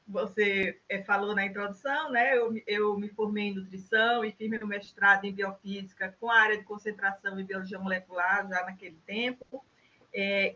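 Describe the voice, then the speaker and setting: A woman's voice: fairly high pitch, bright, forceful tone, lo-fi sound. An older woman with a Recife Brazilian Portuguese accent, answering interview questions in a chatty way with frequent tag questions and restarts. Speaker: interview guest, innovation specialist.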